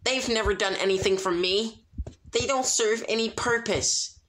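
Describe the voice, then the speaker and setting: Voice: medium pitch, unhurried, fairly bright tone, voice pushed up, natural voice. A reader voicing a proud, bitter young female villain whose bravado is cracking, in a noisy home recording. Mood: defiant, bitter.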